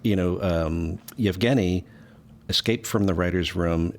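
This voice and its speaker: A man reminiscing casually as a comedy show cast member, in a halting, rambling way. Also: sits low, slight background noise.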